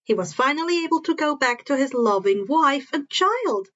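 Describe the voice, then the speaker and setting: A woman's voice: high-pitched, expressive, normal speed, a bit bright, dull audio. A woman reading a story aloud as narrator, now and then stumbling over and repeating words, on a modest home recording. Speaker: fan fiction narrator.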